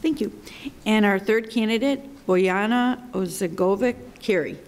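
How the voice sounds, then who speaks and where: A woman's voice: mid-range voice, measured pace. A woman moderator briefly announces each candidate. She is heard from a distance, with her voice ringing through a long hall reverb. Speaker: forum moderator. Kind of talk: formal introductions.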